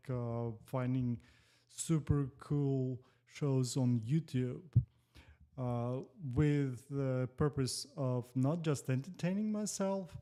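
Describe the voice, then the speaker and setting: Slow, mellow Moldovan man. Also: mid-range voice. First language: Russian. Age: 40s. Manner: slow, careful phrasing with pauses. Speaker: guest. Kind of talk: casual interview.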